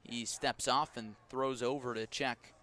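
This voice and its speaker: A man calling live baseball play-by-play as a radio announcer, measured between pitches and lifting with the action. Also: fairly even intonation, bright, forceful tone. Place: outdoor ballpark broadcast.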